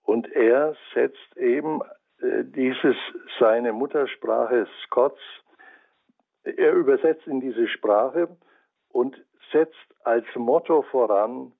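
Slow gravelly man with Franconian accent. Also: mid-range voice, narrow, tinny audio. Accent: Franconian German. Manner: measured, scholarly, searching for words. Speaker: commentator on Bible translation. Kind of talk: reflective spoken radio essay.

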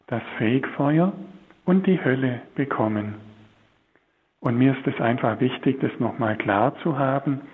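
Slow, husky priest. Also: male voice, mid-range voice, phone-quality sound. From Swabia, Germany. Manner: slow, searching, many hesitations. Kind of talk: spiritual talk on a religious broadcast.

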